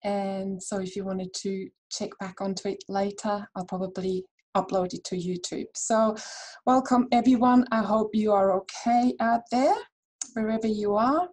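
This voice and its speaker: A woman's voice: medium pitch, steady tone, normal speed, a little mellow. A woman from Switzerland presenting a webinar over a video call, in a friendly, chatty way with fillers and restarts. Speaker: herbal dispensary founder presenting a webinar.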